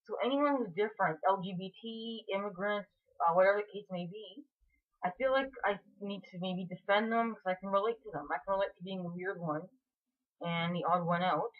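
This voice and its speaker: A woman's voice: medium pitch, brisk. A woman in her twenties from Canada, talking frankly and earnestly to camera in a casual vlog. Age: 20s. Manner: frank and earnest, with frequent restarts and fillers. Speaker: vlogger. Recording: tinny phone-like sound.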